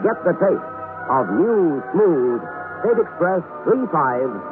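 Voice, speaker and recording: Animated male radio ad announcer. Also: on the high side, slow pace, phone-quality sound. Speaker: advert announcer. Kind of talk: 1960s radio cigarette commercial read. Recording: thin old radio, music behind.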